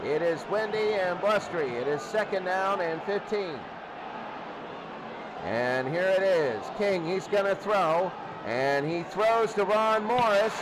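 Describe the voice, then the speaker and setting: A man's voice: sits high, measured pace. A man doing live play-by-play football commentary as a radio announcer, restating the action in short bursts. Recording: very noisy, loud stadium crowd bed. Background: crowd roar.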